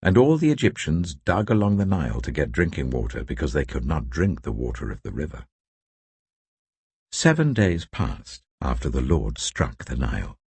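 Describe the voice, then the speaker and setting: A man reading scripture as a solemn, deliberate audio Bible narrator, in a scripted dramatic storytelling style. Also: extremely low pitch, very animated, vocal fry, a little mellow, breathy edge, no echo.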